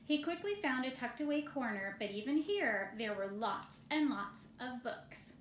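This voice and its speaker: A woman's voice: fairly high pitch, animated, normal speed. A woman narrating a children's story as she reads it from a picture book. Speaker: storybook reader. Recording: roomy echo, faint background noise.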